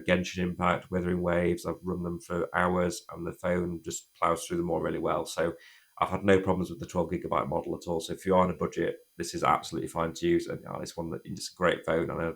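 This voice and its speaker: A man reviews a phone while nursing a cold, his voice croaky and gravelly. He talks fast and casually in a very low, flat tone, often restarting his sentences. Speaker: tech reviewer.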